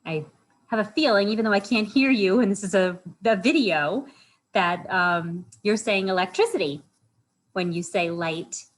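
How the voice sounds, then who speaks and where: A woman's voice: mid-range voice, moderate pace. A woman hosting a storytime for children, reading aloud and chatting in simple words, often putting questions to her young listeners. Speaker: library storytime host for children.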